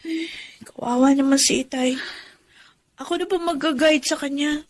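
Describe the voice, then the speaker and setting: An actress playing a caring, dutiful young Filipina daughter in a radio drama, sweet and earnest. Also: female voice, high-pitched, steady tone, normal speed, lightly acted. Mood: worried.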